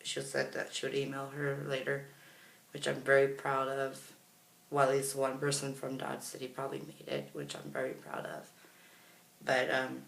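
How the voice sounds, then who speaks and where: A man tells a personal story casually, as if for a vlog. His mid-pitched voice has a lot of vocal fry. The sound is roomy and echoey, and he talks in a chatty way, often quoting others. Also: a bit bright. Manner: chatty, reenacts others' words. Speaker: vlogger.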